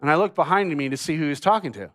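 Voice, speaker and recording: A middle-aged man from New England preaching a sermon, telling stories and acting out bits of dialogue with lively energy. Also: fairly high pitch, quick pace, dry recording. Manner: animated storytelling with acted-out dialogue. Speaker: pastor. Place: church stage.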